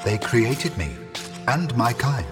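An actor playing a courteous, melancholy humanoid android in an audio drama, speaking in a formal, measured, literal way. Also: male voice, mid-range voice, very animated. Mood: detached, formal. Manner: formal, measured, uncontracted speech. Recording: music playing under the voice.

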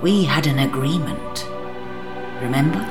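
A woman's voice: low voice, unhurried. A woman playing a haughty, indignant alien leader held captive in an audio drama, making demands. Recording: echoey cell, music underneath.

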